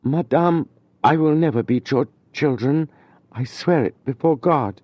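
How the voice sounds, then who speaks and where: A man's voice: mid-range voice, normal speed, a little mellow, dry recording, muffled recording, pitched above the performer's own voice, close to an everyday voice. A man voicing a poor, shy young tutor who speaks earnestly and falters at times. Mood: constrained.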